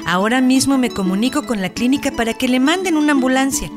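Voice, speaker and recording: An actress playing a courteous, reassuring health hotline operator in a radio drama. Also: female voice, high voice, quick pace, close to an everyday voice. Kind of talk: scripted Spanish-language radio drama. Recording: loud music behind.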